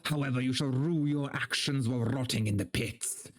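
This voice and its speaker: A man's voice: medium pitch, normal speed, soft background music, close to an everyday voice. A man voicing a stern, sadistic male soldier with a menacing, formal, declamatory delivery in a fantasy story reading. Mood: threatening. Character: stern, threatening, sadistic soldier guarding a captive.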